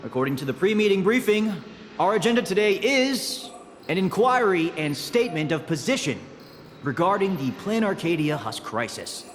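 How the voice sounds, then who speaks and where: A man's voice: sits high, sing-song, normal speed. An actor playing a polite, formal male meeting moderator in a video game. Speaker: game character. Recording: long reverb over music.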